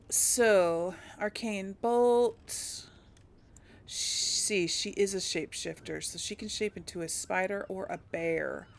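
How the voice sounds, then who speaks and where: A woman giving casual game commentary as a let's play host, chatting and thinking aloud. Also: mid-range voice. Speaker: let's play host, role-playing a character.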